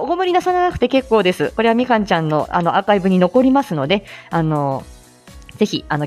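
A woman hosting a casual live stream, chatting with listeners in a polite but informal way and often chuckling as she talks. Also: medium pitch. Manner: chatty, polite announcements mixed with casual asides. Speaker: live audio stream host and speech-language therapist. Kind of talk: casual morning live chat, answering listener comments. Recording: faint music behind the voice. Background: faint background music.

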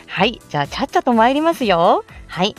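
A woman's voice: fairly high pitch, sing-song, brisk, soft background music. A woman hosting a chatty morning livestream, speaking politely in a bubbly, thankful manner. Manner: polite, bubbly, effusively thankful, laughs often. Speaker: host of a live morning audio stream, voice actor. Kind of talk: chatty livestream talk to listeners.

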